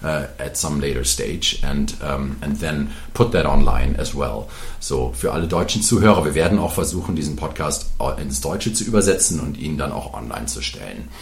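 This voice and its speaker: Male podcast host, extremely deep voice, earnest and wordy, echoey room. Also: expressive, moderate pace, gravelly, hardly any pauses. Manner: earnest, wordy, with many uh and um fillers. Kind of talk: interview podcast hosting.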